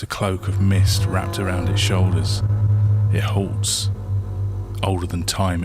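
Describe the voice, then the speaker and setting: A man with a low voice narrates a story at a very slow pace. He speaks in short, measured phrases and leaves dramatic pauses. Prominent plucked guitar music plays behind him. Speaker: storyteller, author reading own story. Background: soft plucked guitar soundtrack.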